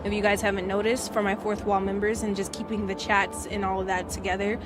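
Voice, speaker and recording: A woman's voice: mid-range voice, little pitch movement, a little fast, music in the background. A woman live streamer chatting casually and slangily to her viewers.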